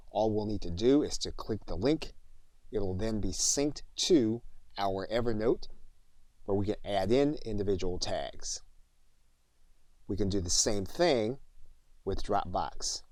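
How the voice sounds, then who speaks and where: A man narrating an instructional software tutorial, explaining things step by step as a training instructor. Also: lowish voice, a little slow, no echo.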